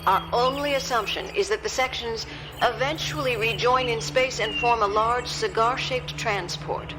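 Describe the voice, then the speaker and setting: An actress playing a composed, analytical scientist who briefs officials in a radio drama, explaining things in a measured way. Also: female voice, fairly high pitch, lightly acted. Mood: measured, factual. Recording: music under the voice.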